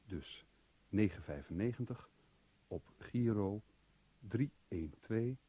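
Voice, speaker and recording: Male radio announcer, formal and measured, dark mellow tone, unhurried. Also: lowish voice, lively intonation, husky.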